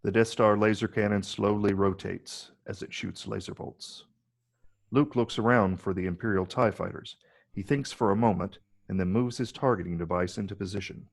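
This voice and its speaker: A man narrates a script's stage directions in a low register. His voice has a heavy gravelly fry as he reads out the scripted action. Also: fairly even intonation, normal speed.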